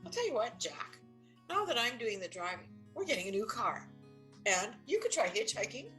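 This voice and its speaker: A woman's voice: on the high side, very animated, normal speed, sharp tone, faint music behind. An older woman playing a firm, practical, exasperated but loving wife in an audio play, matter-of-fact and insistent. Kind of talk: audio play stage acting.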